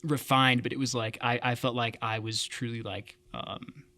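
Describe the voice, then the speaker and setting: A man's voice: mid-range voice, little pitch movement, normal speed, bright, forceful tone, dry recording. A man who plays keys in a worship band, speaking thoughtfully and hesitantly, with many fillers. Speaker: worship band keyboard player. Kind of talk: casual interview chat.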